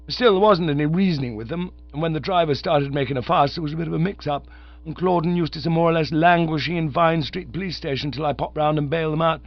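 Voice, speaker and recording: A man's voice: fairly high pitch, no echo, highs cut off, voice pushed up. A man voicing a breezy young Oxford student, rattling on chummily. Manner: rattling, chummy, full of "you know".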